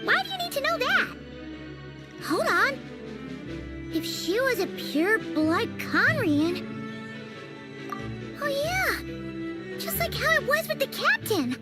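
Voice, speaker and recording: An actress voicing a small, excitable companion character in a game, with a very high, lively delivery. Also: female voice, slow, long pauses, over loud music, exaggerated voice. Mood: puzzled.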